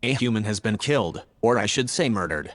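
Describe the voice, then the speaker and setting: A man playing a logical, earnest male artificial intelligence in an audio drama, speaking in a measured, factual, formal way. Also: on the low side, expressive, in a large hall, natural voice. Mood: earnest, matter-of-fact. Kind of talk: scripted audio drama dialogue.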